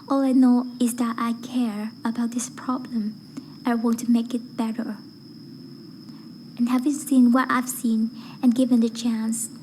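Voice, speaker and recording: A woman with a fairly high, very mellow voice recites a prepared speech. Her delivery is careful and earnest, with very little rise and fall. Faint noise sits behind her. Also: poor audio. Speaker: voice acting learner reading a speech. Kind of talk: rehearsed public speech recitation.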